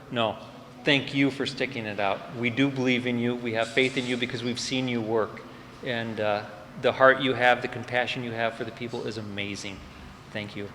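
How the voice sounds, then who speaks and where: Guy presenting cadets at a ceremony, lots of uhs, bright forceful voice, echoey hall w faint background noise. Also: medium pitch. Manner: warm off-the-cuff remarks with frequent fillers. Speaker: fire department EMS supervisor presenting cadets. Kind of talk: ceremony speech introducing graduates. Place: large indoor hall at a ceremony.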